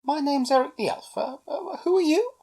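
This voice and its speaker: A woman's voice: high-pitched, lively intonation, normal speed, warm tone, voice pushed up. A woman voicing a friendly, polite little male elf in a children's story. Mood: puzzled, polite.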